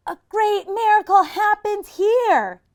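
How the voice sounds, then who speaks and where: A woman's voice: very high-pitched, animated, slow pace, a little mellow, voice pushed up, heavily acted. A woman voicing an anxious but take-charge young storybook girl who calls out in exclamations. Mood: shouting.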